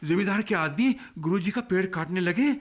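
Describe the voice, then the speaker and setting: Frightened, high-voiced village boy. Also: expressive, normal speed. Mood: panicked. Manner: urgent, stammering. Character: frightened village boy, breathlessly bringing bad news. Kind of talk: Hindi radio drama acting.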